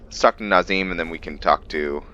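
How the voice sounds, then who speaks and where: A man's voice: low voice, brisk, pushed and bright, highs cut off. A man playing a roleplay game and thinking aloud, hesitant, with fillers. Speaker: gamer roleplaying his character. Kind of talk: casual gameplay roleplay commentary.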